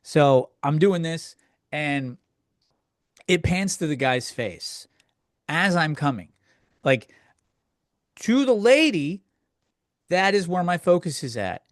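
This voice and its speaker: A man's voice: a little high, big pitch swings, slow pace, long pauses, no room sound, clean studio sound. A man hosting a comedy podcast, ranting casually in a crude, self-deprecating, rambling conversational way. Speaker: podcast host. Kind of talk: casual comedic solo rant, answering listener questions.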